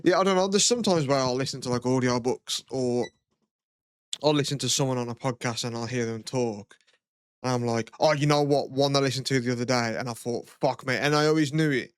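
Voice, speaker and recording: A man, casually chatting as a podcast co-host, relaxed and swearing freely, with a low throaty voice. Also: fairly quick, clear, resonant tone, dry recording, studio quality. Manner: laid-back, swears a lot, lots of "you know what I mean". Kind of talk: casual chat.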